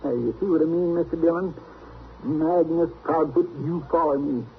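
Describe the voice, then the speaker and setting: On a thin, noisy old radio recording, an actor plays an exasperated western sidekick with a folksy Southern drawl. His rather high voice rises and falls in querulous, bossy scolding. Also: moderate pace, phone-quality sound, close to an everyday voice. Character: exasperated, fussy, bossy frontier lawman's helper, adult man. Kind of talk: 1950s radio western acting.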